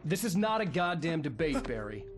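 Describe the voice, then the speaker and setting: An actor playing a desperate, determined hero in a video game cutscene, speaking urgently. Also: male voice, fairly high pitch, very animated, moderate pace, music in the background. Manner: urgent, forceful. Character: driven, desperate writer hero taking charge.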